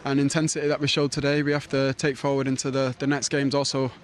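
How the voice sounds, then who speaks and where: Male football figure, monotone measured answers, outdoor interview with light hiss. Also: medium pitch. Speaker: football player or manager. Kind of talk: post-match interview.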